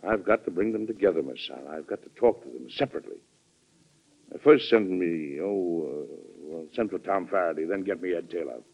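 A man's voice: deep voice, fairly quick. An actor playing a weary but firm, fatherly American president in an old-time radio drama, speaking earnestly with authority and occasional hesitation. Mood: earnest, harried. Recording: muffled vintage radio drama recording.

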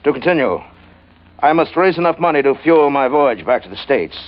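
An actor playing a pompous, florid, hard-up gentleman of shady dealings in an old-time radio drama, hemming as he speaks. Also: medium pitch, expressive, normal speed, close to an everyday voice. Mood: smooth, scheming. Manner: pompous, flowery, hesitant hemming. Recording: dull, band-limited old radio recording.

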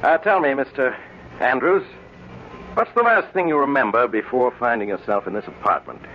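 An actor playing a calm, probing doctor, measured and courteous, in a 1940s radio drama. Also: male voice, medium pitch, close to an everyday voice. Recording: slightly noisy, muffled old-time radio recording.

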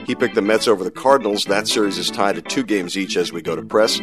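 A wry American man with a fairly low voice hosts a science podcast. He talks conversationally and slips in dry humor, with music in the recording. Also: quick pace. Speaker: podcast host.

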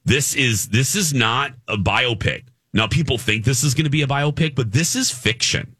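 A man co-hosting a casual morning radio show, talking in an animated way that swoops up high when he gets emphatic. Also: moderate pace, husky, no echo, clean studio sound.

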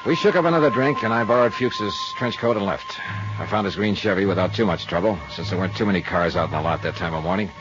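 An actor playing a tough, world-weary insurance investigator in a 1950s radio drama, speaking and narrating in a dry, wry, understated way. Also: male voice, lowish voice, fast, close to an everyday voice. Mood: wry narration. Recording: muffled 1950s radio recording with music.

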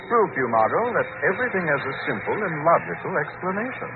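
An actor playing an urbane, confident, skeptical amateur sleuth in a 1940s radio drama, suave and faintly wry. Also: male voice, on the high side, very animated, fast. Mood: assured, dismissive. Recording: noisy, tinny old radio broadcast.

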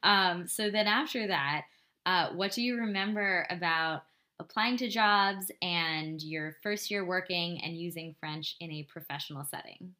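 A woman's voice: lowish voice, measured pace, sharp tone. A woman interviewing a guest, asking open questions and adding short, supportive remarks. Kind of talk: casual interview.

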